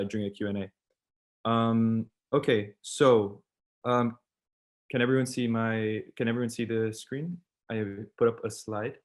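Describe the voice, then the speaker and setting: Young male host of an online event, hesitant delivery, lots of fillers. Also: on the low side. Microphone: video call.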